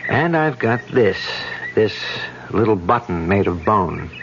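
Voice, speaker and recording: This is a self-assured investigator husband in an old radio mystery who lays out his clues with affection. His voice sits low. A muffled recording with steady hiss carries it. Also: slow, heavily acted. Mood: confident. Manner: confident, explanatory and affectionate. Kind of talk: 1970s radio drama acting.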